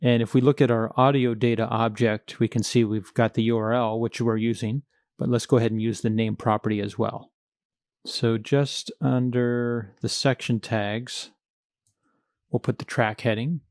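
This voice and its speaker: A man narrates a programming tutorial step by step in a methodical, plain-spoken way. His voice sits at an average pitch, and the recording is dry with very little room sound. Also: level tone, normal speed, a little mellow, dry recording. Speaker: coding tutorial instructor. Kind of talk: screencast coding walkthrough, explaining step by step.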